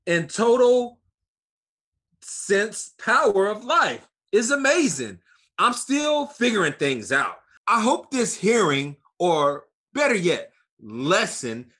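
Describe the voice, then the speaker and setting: An actor playing a pompous self-styled guru professor who lectures grandly and haltingly in a comic monologue, angling for donations. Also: medium pitch, fairly bright tone, slightly rough, full character voice.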